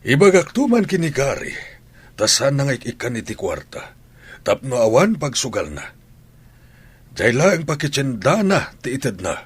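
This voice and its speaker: A man's voice: mid-range voice, big pitch swings, moderate pace, breathy edge, dry recording. An actor with an Ilocano Filipino accent playing a nagging, disapproving family man in a radio comedy drama.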